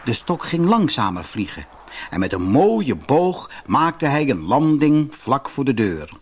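Animated male fairy-tale narrator. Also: medium pitch, measured pace, a little hiss or hum. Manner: expressive storytelling. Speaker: audiobook narrator. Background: music.

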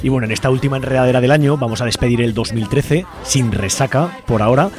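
A man's voice: medium pitch, fast. A man with a Spain Spanish accent hosting a community radio show in a casual, informal, joking way. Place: busy radio studio. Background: faint music.

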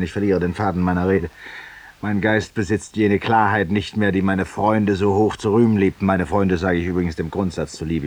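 Actor, male, for haunted, ironic gentleman in a 1950s radio play: deliberate, confiding, ceremonious; slightly distant, hissy recording. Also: lowish voice, lightly acted. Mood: weary, bitter. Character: weary, haunted, ironic Parisian man confessing to a stranger. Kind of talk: 1950s German radio drama monologue.

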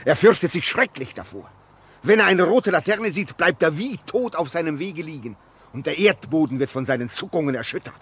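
An actor in a 1950s German radio drama playing a brooding, haunted, lonely railway signalman, halting and intense in manner. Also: fairly high pitch, expressive, a bit bright. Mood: feverish, obsessive. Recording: noisy 1950s radio recording.

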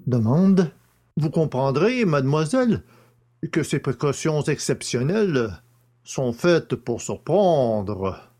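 A man reading a magistrate's questions deliberately in a dramatised audiobook. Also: on the high side, very animated, darkish tone.

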